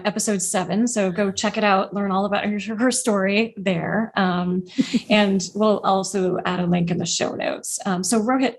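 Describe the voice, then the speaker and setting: A woman hosting an interview podcast, upbeat and casual, with frequent fillers. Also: mid-range voice. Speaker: podcast host.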